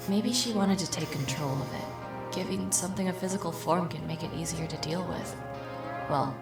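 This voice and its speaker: A woman plays a guarded young woman from a mystery game who talks frankly and a little wistfully. Her voice sits low and deep for a woman, and clear game music plays under her lines. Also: moderate pace, lightly acted. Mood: reflective. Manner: guarded, candid, low-key. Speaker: suspect questioned in a mystery. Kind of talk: video game voice acting.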